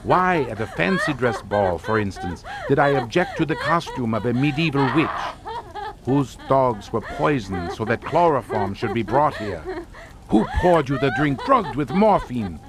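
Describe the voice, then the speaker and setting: An actor playing a menacing, scornful older Hungarian count in a radio suspense drama, speaking in a formal, taunting, theatrical manner. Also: male voice, medium pitch, very animated, measured pace, a crowd in the background. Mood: impassioned.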